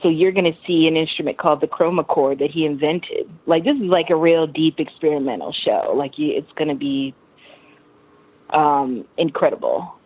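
An American woman around thirty chatting casually as a musician being interviewed, with an animated, slangy, effusive manner. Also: low voice, moderate pace, husky, narrow, tinny audio. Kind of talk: casual chat.

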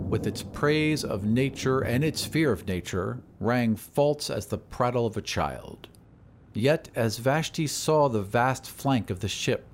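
A man narrating a story in a deliberate, literary, dramatic scripted style. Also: mid-range voice, slight background noise. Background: music.

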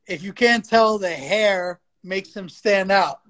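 A man with a notably high voice hosts a weekly podcast over a livestream. He keeps the talk relaxed and friendly as he leads the conversation with his guest. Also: slow. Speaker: podcast host. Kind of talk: casual chat.

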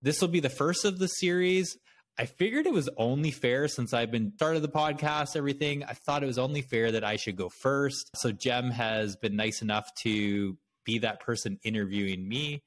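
A man's voice: mid-range voice, moderate pace. A man from Canada with a Canadian accent, talking casually and conversationally as a podcast host.

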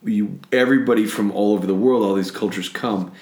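An American man hosting a podcast, chatting in a relaxed way and telling stories with frequent restarts. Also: lowish voice, a little fast, roomy sound. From United States. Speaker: podcast host. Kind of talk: casual conversational podcast.

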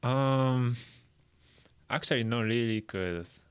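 A young man from Japan with a Japanese accent, hosting a casual chat in halting, simply phrased non-native English. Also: mid-range voice, clear, resonant tone. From Chiba, Japan. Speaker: podcast host. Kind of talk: casual free talk.

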